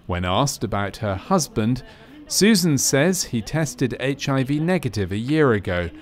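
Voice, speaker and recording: A man reading scripted narration for a medical training film in a measured, matter-of-fact way. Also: medium pitch, sing-song.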